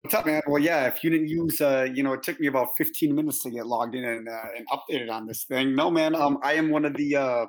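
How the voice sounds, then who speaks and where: A man guesting on a podcast, his voice raspy after celebrating, talking in a laid-back way with plenty of ums. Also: medium pitch, fairly even intonation, a little fast, few pauses.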